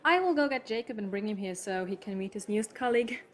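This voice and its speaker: Supportive, impressionable colleague played by a woman, medium pitch, earnest and admiring, fan audio drama. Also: expressive, fast talker, close to an everyday voice. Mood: meek, helpful. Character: eager, easily impressed, supportive female shop colleague.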